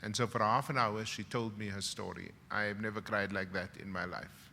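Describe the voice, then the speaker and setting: A middle-aged man with a South African English accent giving a graduation speech as a university professor, a dramatic storyteller who swings from loud, wry punchlines to hushed, emotional moments. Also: fairly low pitch, fairly even intonation, moderate pace, creaky, slight background noise. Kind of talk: ceremonial speech with personal stories. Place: large graduation hall. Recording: long hall reverb from a ceremony PA.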